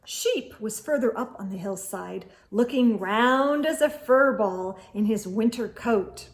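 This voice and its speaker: A woman reading a children's storybook aloud as host, in an expressive storytelling manner. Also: a little high, takes it slowly.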